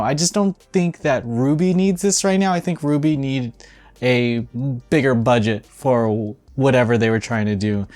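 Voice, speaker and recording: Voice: medium pitch, darkish tone. A video game news host giving casual, opinionated commentary in an informal, blunt way, with some swearing.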